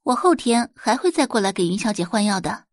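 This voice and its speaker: A woman's voice: mid-range voice, sing-song, fairly quick, no echo, clean studio sound. A woman voicing a poised young heiress and doctor who talks sweetly and confidently in an audio drama.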